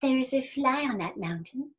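A woman's voice: high-pitched, expressive, normal speed, a bit bright. A woman voicing a proud, cocky male rabbit in a storybook, declaiming in an emphatic, self-satisfied way. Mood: smug. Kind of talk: animated storybook reading.

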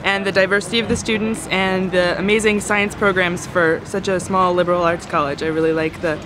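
A woman's voice: on the low side, flat delivery, a little fast. Young woman, upbeat, outdoor campus, ambient noise. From Kansas City, Missouri. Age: young adult. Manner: upbeat, eager. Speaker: admitted student. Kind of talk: casual on-camera introduction. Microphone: camera mic, close framing.

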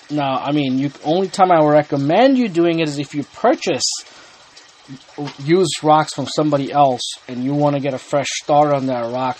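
A man's voice: on the high side, darkish tone, slight background noise. A man hosting a hobby channel, talking casually and unhurriedly, with frequent ums and restarts as he explains his tank. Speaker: aquarium hobby channel host. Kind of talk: casual explanatory talk to camera. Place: indoor home room with the aquarium.

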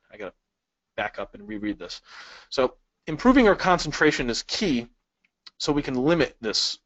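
A man's voice: mid-range voice, no echo. A Chicago-born man teaching a webinar. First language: Polish. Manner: friendly, asks the audience questions, light jokes. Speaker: speed-reading instructor. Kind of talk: webinar lesson with slides. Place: small indoor room. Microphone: webcam inset. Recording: dry, slightly dull sound.

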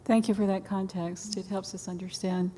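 A woman moderating an oral history panel, asking gentle, unhurried questions. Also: medium pitch, flat delivery. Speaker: interviewer, moderator. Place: conference hall. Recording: echoey hall, faint background noise.

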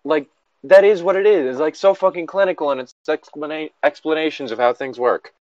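A man's voice: a little high, a little fast, darkish tone, no echo, dull audio. A man co-hosting a casual, joking commentary, exasperated and swearing freely, sometimes reading aloud. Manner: exasperated, profane. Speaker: co-host reading and mocking internet horror fiction.

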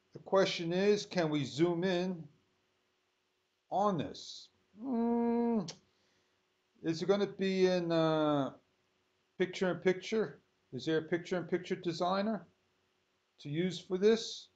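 A man giving an unscripted software tutorial, thinking aloud in short, halting phrases as he works. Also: high voice. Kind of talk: unscripted screen-recorded tutorial. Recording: dull lo-fi sound.

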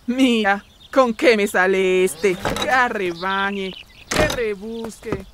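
A woman's voice: mid-range voice, slow pace, heavy background noise, lightly acted. A woman playing a fond, fussing old peasant grandmother in a children's cartoon dub, exclamatory and sing-song. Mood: exasperated.

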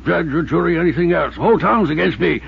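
High, raspy, hunted desert prospector. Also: male voice, lively intonation, quick pace, exaggerated voice. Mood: bitter, insistent. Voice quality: raspy, creaky. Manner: folksy, agitated, suspicious. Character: hunted desperate old desert prospector holding a gun. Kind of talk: 1950s radio drama acting. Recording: muffled lo-fi 1950s radio recording.

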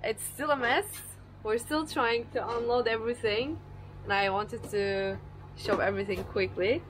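A woman's voice: a little high, moderate pace, noisy background. Chatty woman vlogging on a boat. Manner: cheerful, chatty, laughs easily. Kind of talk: casual vlog narration. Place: aboard a sailboat. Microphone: handheld camera. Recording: music bed underneath.

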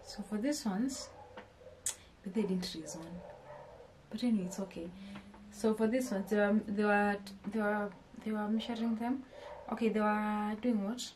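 Young kenyan woman chatting to the camera in her kitchen, mid pitch, keeps asking viewers stuff and restarting sentences. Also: fairly even intonation, normal speed, darkish tone, a little hiss or hum. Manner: chatty, addresses viewers with questions, often restarts phrases. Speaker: vlogger. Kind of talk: casual haul vlog. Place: small home kitchen, indoors.

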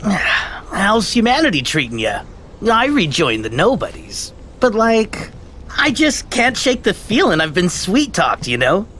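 An actor playing a lazy, cowardly young man in a video game, with a whiny drawl that jumps into sudden excitement. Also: sits high, a little slow, a little hiss or hum, heavily acted. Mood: chummy.

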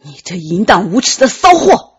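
A woman's voice: medium pitch, sing-song, normal speed, husky. A woman voicing a jealous, cold and commanding young emperor in an audiobook, biting out his words through gritted teeth. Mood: jealous rage.

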